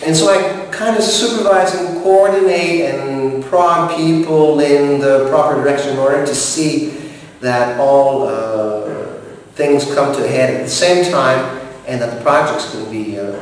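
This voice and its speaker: A man's voice: medium pitch, slight background noise. Man from montreal, french native speaker, formal and hesitant, far from the mic, very echoey room with long reverb. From Montreal, Canada. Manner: formal, measured, frequent hesitations. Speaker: director of operations. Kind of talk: interview answers.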